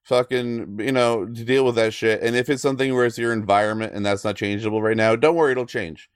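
A man's voice: medium pitch, steady tone, fast talker, smooth, no echo, studio quality. A man co-hosting a podcast in casual discussion, speaking in a blunt, informal way with casual swearing. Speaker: podcast co-host.

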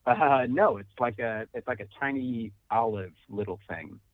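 A man's voice: mid-range voice, normal speed, no room sound. A man co-hosting a casual chat, telling laid-back stories and quoting his own thoughts. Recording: tinny phone-like line.

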